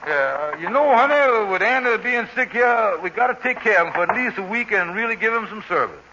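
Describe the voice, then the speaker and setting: An actor playing a scheming, smooth-talking husband in a 1940s radio comedy. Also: male voice, sits high, lively intonation, bright, forceful tone. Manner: coaxing, fast-talking schemer. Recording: dull old radio broadcast, faint hiss.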